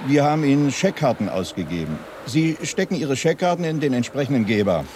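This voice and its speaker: Actor as a matter-of-fact industrial guide, faint outdoor noise. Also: male voice, medium pitch, sing-song, brisk, darkish tone, close to an everyday voice. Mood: factual. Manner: factual, unruffled, explanatory. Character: matter-of-fact, evasive male silo facility guide. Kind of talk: radio play acting as a guided tour.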